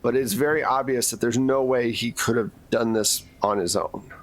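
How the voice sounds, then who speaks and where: A man's voice: mid-range voice, normal speed, low-quality recording. A man narrating a tabletop roleplaying game as game master, casual and given to joking asides.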